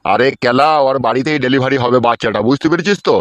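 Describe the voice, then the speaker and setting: A man's voice: mid-range voice, fast talker, dry recording, close to an everyday voice. A man voicing a scheming, bossy, middle-aged village uncle in a comedy cartoon, scolding and constantly checking he is understood. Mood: impatient, explaining. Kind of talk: Bengali comedy cartoon dubbing.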